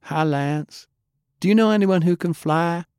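A man's voice: a little high, a little slow, darkish tone, clear, resonant tone, dry recording, studio quality, pitched above the performer's own voice, lightly acted. A man voicing a plucky, naive and curious young girl in an audiobook.

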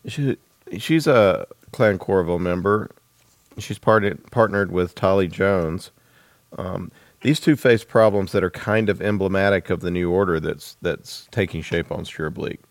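A man hosting a casual author interview in a halting, chuckling way. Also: deep voice, no echo. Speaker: interview host.

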